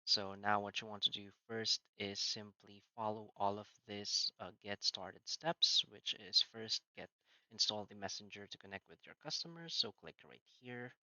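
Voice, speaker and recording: A man narrating a screen-recorded software tutorial, walking through each step plainly with frequent "so" and "uh" fillers. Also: lowish voice, normal speed, dry recording, dull audio.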